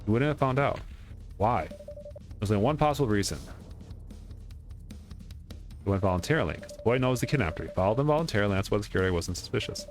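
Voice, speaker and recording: A man's voice: on the low side, lively intonation, quick pace, pauses often, faint music behind. A man reading video game dialogue aloud as he plays, stumbling now and then and correcting himself. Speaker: let's play streamer.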